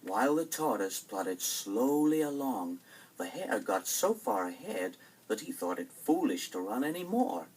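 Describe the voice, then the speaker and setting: A man narrating a children's bedtime story in a dramatic, expressive scripted style. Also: mid-range voice, unhurried, breathy edge, recorded from a distance, low-quality recording. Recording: vinyl record with music behind.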